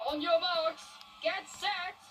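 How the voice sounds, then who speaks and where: Storybook character: commanding boot race starter, bellowed calls, raised pitch , female reader acting it out. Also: steady tone, takes it slowly, recorded from a distance, over music.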